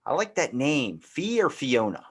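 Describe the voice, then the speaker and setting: A man's voice: medium pitch, very animated, a little slow, husky. A man hosting a podcast in casual, comedic chat, rambling and slangy as he jokes. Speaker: podcast host.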